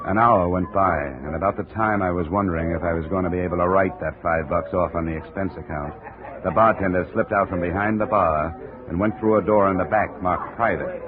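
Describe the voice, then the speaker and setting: An actor playing a terse, dry American investigator in a 1950s radio detective drama. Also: male voice, low-pitched, fairly even intonation, fairly quick, music in the background, lightly acted. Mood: dry, narrating. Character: hard-boiled, dogged investigator narrating his own case. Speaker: lead actor. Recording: narrow-band old radio recording, faint noise.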